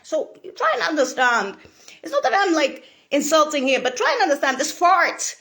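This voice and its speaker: High, lively woman rambling. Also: moderate pace. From Italy. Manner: profane, laughing, bursts into shouts. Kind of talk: rambling monologue.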